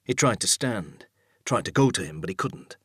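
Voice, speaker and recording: Male narrator for dark thriller audiobook: low, raspy tone, measured suspense, dry recording. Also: animated, no echo.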